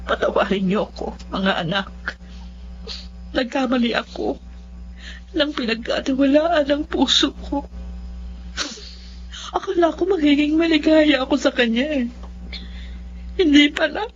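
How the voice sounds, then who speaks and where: A woman's voice: sits high, measured pace, long pauses, a little hiss or hum. An actress playing a remorseful, hot-tempered middle-aged mother in a Filipino radio drama, with a Filipino accent. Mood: ashamed, pleading. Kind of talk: Filipino radio drama acting and first-person narration. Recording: dull lo-fi radio sound, music underneath.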